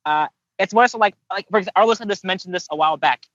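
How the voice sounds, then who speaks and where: A man with a slightly higher voice who thinks aloud and keeps restarting his sentences. His mic sounds a little muffled and dry, with no room around it. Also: very fast, a bit bright, no room sound. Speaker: podcast co-host and voice actor. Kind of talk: casual podcast chat.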